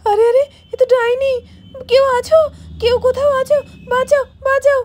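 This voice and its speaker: A woman voicing an alarmed, protective younger woman in a doll-play story, shrill and urgent. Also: steady tone, normal speed, background noise. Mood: alarmed, indignant.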